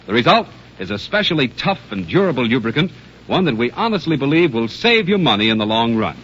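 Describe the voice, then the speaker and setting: A man's voice: mid-range voice, very animated, brisk, a bit bright, slight background noise. An American man reading scripted announcements and commercials as a 1930s radio announcer. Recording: dull 1930s radio recording with music.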